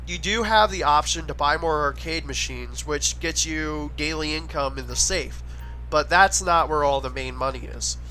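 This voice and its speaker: A man with a fairly high, bright, forceful voice that sounds a little stuffy from a head cold. He talks casually and eagerly, like a gaming creator recommending his favorites. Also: a little hiss or hum, poor audio. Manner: chatty, enthusiastic recommending. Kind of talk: casual gameplay commentary voice-over.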